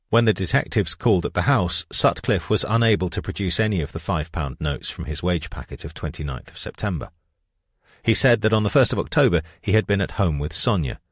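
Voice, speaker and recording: Low voiced guy reading an audiobook, steady and measured, dry close sound. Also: no room sound. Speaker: audiobook narrator. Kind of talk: scripted nonfiction narration.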